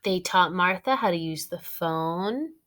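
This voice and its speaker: Animated, unhurried woman reading a storybook. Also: on the low side. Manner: expressive storytelling. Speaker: teacher reading aloud to students. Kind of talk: children's storybook read-aloud.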